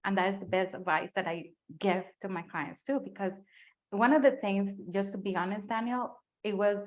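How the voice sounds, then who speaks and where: A woman's voice: mid-range voice, fairly even intonation, moderate pace. A woman with a Spanish accent, a native Spanish speaker, hosting an interview with enthusiastic praise. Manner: warm enthusiastic praise, mixes casual phrasing. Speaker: host and business coach.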